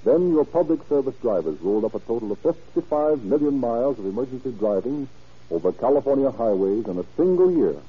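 A man delivers scripted narration and sponsor copy as an old-time radio announcer. He is formal and declamatory, with a husky edge. The recording sounds dull and low in fidelity. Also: big pitch swings, a little fast.

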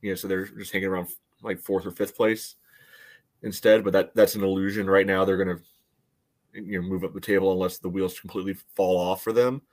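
A man's voice: sits low, flat delivery, a little fast, a little mellow. A man co-hosting a casual sports podcast, analysing in a hesitant way with lots of verbal padding. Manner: hesitant, filler-heavy phrasing with frequent "you know". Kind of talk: casual soccer analysis chat.

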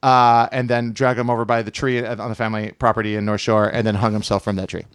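A man's voice: fairly low pitch, little pitch movement, fast talker, clear, resonant tone, no room sound, studio quality. A man from Hawaii telling a family story in casual chat, rambling with many fillers and restarts.

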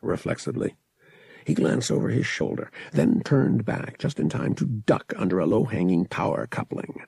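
A man's voice: fairly low pitch, animated, normal speed, creaky, warm tone, husky, no echo. A man reading an adventure novel as an audiobook narrator, in dramatic scripted narration.

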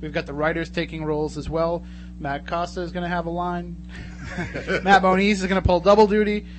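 A man's voice: sits high, level tone, fairly quick, background noise. A man hosting a radio show in a casual, chatty and jokey way from a studio.

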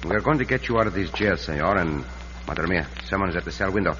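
An actor playing a calm, gallant Mexican adventurer in a radio western, with a courteous, commanding, deliberate delivery and a Mexican accent. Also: male voice, deep voice. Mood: calm, confident. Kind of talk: 1950s radio western acting. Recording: muffled, noisy, reverberant old radio recording.

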